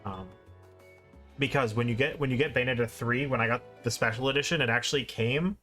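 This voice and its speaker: A man's voice: medium pitch, fairly quick, a bit bright, soft background music. A man chatting casually as he streams a video game. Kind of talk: casual live stream commentary. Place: indoor live stream with game music behind.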